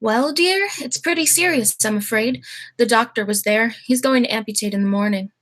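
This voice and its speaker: A dainty elderly aunt played by a young woman in a radio play. Her voice is high, her manner gentle, kindly and genteel, with mild politeness. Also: normal speed, lightly acted.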